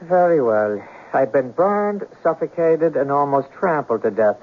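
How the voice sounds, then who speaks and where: An actor playing a stubborn, gloomy, lovesick young Irish immigrant in a 1970s radio drama, indignant and stammering when flustered. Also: male voice, on the high side, expressive, unhurried. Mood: exasperated. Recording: dull, noisy old radio broadcast.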